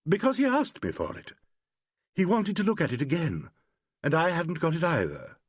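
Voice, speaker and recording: A man's voice: fairly high pitch, huge pitch swings, moderate pace, breathy edge, no echo, studio quality, pitched above the performer's own voice, exaggerated voice. A man voicing a poised but tense grown actress in a novel, speaking carefully under strain. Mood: controlled unease.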